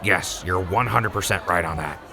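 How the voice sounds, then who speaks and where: A man's voice: low-pitched, moderate pace, over music. A man voicing an earnest, remorseful young man who pleads and apologizes in an audio drama. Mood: apologetic.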